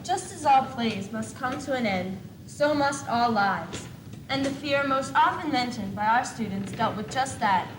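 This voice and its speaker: A young teenage girl playing an earnest presenter, giving scripted narration in a school play. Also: high-pitched. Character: earnest presenter speaking for the students. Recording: echoey, noisy 1980s school video recording.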